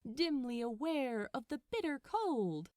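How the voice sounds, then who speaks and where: A woman narrating fiction aloud in a dramatic, expressive way with deliberate phrasing. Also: high-pitched, no echo, clean studio sound. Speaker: podfic narrator. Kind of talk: expressive scripted story narration.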